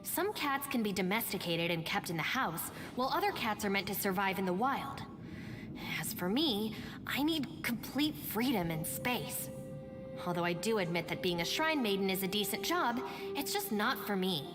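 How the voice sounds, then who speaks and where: A woman acts out a composed young student from a video game. Her mid-pitched voice is measured and matter-of-fact. It rings with reverb over music. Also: big pitch swings, natural voice. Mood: composed, reflective. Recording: long reverb, music behind.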